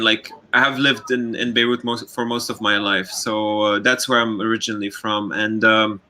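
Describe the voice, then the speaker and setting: A man from Lebanon, a filmmaker, speaking slowly and hesitantly on a panel, often repeating words. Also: lowish voice, bright, forceful tone. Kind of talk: panel discussion.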